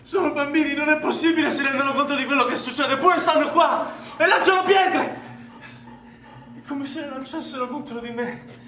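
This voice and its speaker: A man's voice: very high-pitched, brisk, crowd noise. An actor playing an accused male swimming instructor in a stage drama, incredulous, wounded and insistent, repeating his questions. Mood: indignant, distressed. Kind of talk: naturalistic Italian stage drama acting. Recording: noisy, echoing, distant stage recording.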